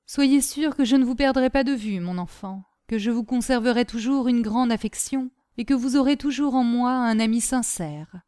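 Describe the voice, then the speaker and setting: A woman voicing a kindly, fatherly gentleman, master of a household, in a storybook reading with a concerned, paternal manner. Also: fairly high pitch, normal speed, few pauses, clear, resonant tone, pitched above the performer's own voice, lightly acted. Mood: sad, affectionate. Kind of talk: audiobook character acting.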